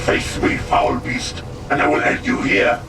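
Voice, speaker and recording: A man's voice: a little slow, natural voice. An actor playing a proud, ferocious armored wolf lord in a video game, declaiming grandly and commanding his warriors. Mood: battle fury. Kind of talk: dramatic video game voice acting. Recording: roomy, distant, under very loud music.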